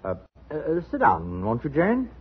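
An actor playing an uneasy, halting, polite studio executive in a 1950s radio drama. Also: male voice, fairly high pitch, wildly swooping pitch, slight background noise. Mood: nervous, guarded. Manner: hesitant, stammering, nervously polite. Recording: muffled, lo-fi old radio recording.

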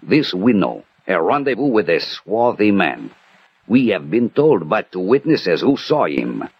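Cool analytical detective, very low voice with wide swings, slightly rough, vintage radio mystery on dull old recording. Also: male voice, big pitch swings, a little hiss or hum. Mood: probing. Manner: measured, deliberate, analytical. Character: cool, methodical male French detective narrating his case. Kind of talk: 1960 radio mystery acting with first-person narration.

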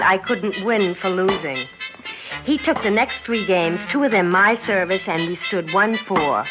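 An actress playing a proud, competitive young sports champion in a 1960s radio drama, curt and guarded. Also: female voice, a little high. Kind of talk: 1960s radio drama acting with first-person narration. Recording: dull 1960s radio recording, loud music.

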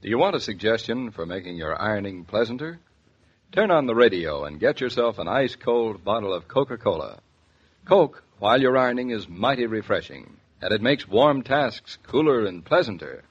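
A man's voice: on the low side, sing-song, normal speed, breathy edge. A man announcing and reading advertising in a 1940s radio style. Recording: muffled vintage 1940s radio recording.

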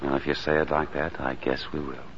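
An actor playing a devoted, reassuring male doctor in love, tender and gently teasing in 1940s radio drama style. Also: a bass voice, flat delivery, brisk, slight background noise. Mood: fond, reassuring. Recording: muffled 1940s radio recording, music behind.

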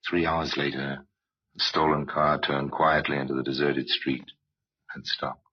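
A man giving measured, wry scripted narration for an old-time radio crime drama. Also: very deep voice, flat delivery, breathy edge. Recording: dull, lo-fi vintage radio recording.

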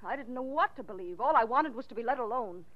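A defensive, bitter widow, acted by a woman with a fairly high voice. She talks in a guarded, pointed way, in full 1940s radio drama style. The sound is dull and aged. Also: animated, quick pace, close to an everyday voice. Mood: bitter, accusing. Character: defensive, cagey, bitter widow of a murdered man.

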